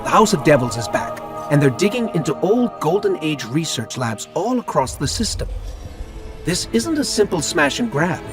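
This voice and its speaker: An actor playing a keen, wry male scout in a video game, giving briefings in a brisk, conversational way. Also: a little high, very animated. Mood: intrigued, briefing. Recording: music behind the voice.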